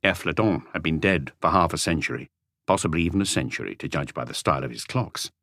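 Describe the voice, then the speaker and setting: A man's voice: very deep voice, fast, fairly bright tone, no echo, clean studio sound. A man reading a mystery novel as a scripted audiobook narration.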